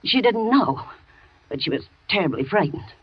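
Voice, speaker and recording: An actress playing a loyal housekeeper in a 1940s radio thriller, talking with a nervous, shaky manner on a dull old broadcast. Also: female voice, medium pitch, big pitch swings, normal speed, husky, no room sound. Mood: shaken. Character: frightened loyal housekeeper woman, middle-aged. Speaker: housekeeper and nurse.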